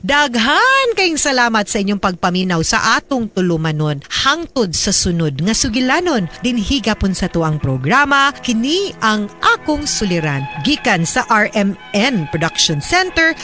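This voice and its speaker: A woman's voice: mid-range voice, fairly bright tone. A woman lawyer answering listener questions on a radio advice show, explaining patiently and tagging her points with a questioning "no?". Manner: mixes local language with English phrases. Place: radio studio.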